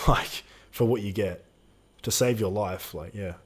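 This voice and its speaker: A man's voice: fairly low pitch, slow, slight background noise. A man in his twenties co-hosting a live Q&A stream, chatting casually and reading out viewer questions. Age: 20s. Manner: casual slangy, swears, reads out chat questions.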